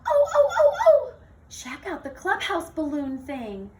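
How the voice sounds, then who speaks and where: A woman voicing an exuberant, playful young girl in a children's storybook, bouncy, sing-song and excitable. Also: high-pitched, measured pace, pitched above the performer's own voice, heavily acted. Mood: excited. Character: exuberant, playful, whimsical young girl who loves dancing. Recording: distant mic with faint noise.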